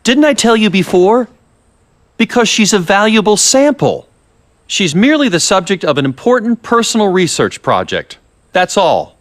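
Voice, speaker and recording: An actor playing a calm, scheming research director in a video game, with measured, condescending politeness and slyly menacing manner. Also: male voice, high-pitched. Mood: defensive, coaxing. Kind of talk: English video game voice acting.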